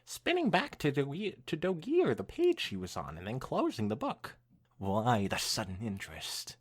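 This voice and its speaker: A young man hosting a podcast, reading fan fiction aloud in an animated way mixed with casual chat. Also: medium pitch, normal speed, breathy edge.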